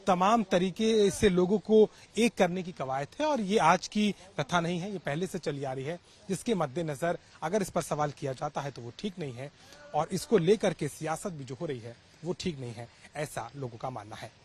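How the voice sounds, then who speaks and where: A man's voice: a little high, moderate pace, darkish tone, husky. A man reporting on location, doing street interviews and pieces to camera. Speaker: field reporter. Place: on location in a local neighbourhood.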